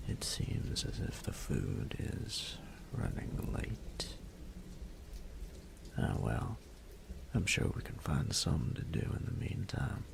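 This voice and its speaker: A man playing a smug, teasing young bully in an intimate audio roleplay, with a breathy, whispery, gravelly voice and a slow, taunting drawl. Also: low voice, slight background noise, heavily acted. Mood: playful, commanding.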